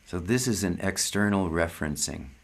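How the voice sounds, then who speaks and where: A man giving a meditative spiritual talk as a satsang teacher, speaking in measured, deliberate short phrases with long pauses. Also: low-pitched, expressive, vocal fry.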